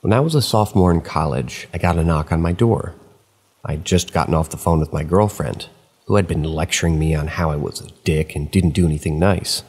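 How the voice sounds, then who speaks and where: A man with a very deep, husky voice reads stories aloud in a steady, even manner, now and then dropping a dry remark of his own. A long reverb trails after his words. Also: brisk. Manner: steady storytelling with dry asides. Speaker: narrator of online horror stories.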